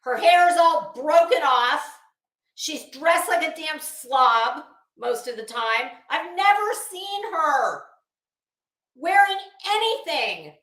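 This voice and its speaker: Lady ranting on her stream, slow talker, sharp voice, blunt n sweary, kinda echoey. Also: very high-pitched. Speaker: livestream host. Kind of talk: casual livestream commentary.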